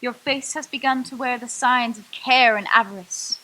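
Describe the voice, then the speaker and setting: A woman's voice: a little high, moderate pace, bright, forceful tone, rough recording. A woman playing a stern, probing ghost. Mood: solemn. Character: solemn female ghost guiding a miser. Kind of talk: radio drama acting.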